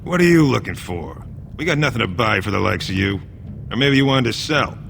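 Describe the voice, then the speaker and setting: An actor playing a gruff, suspicious dwarf shopkeeper, curt and guarded, in a fantasy game. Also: male voice, on the low side, expressive, fairly quick, background noise.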